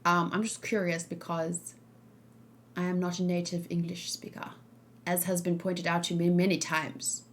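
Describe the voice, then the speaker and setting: A woman's voice: on the low side, level tone, normal speed, a little hiss or hum. Casual female streamer, English speaker. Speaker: streamer reacting to game trailers. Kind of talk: casual livestream chat.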